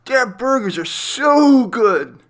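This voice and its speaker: A man's voice: very high voice, animated, slow pace, fairly bright tone, pitched above the performer's own voice, heavily acted. A man voicing a pleading, eager young son in a storybook reading.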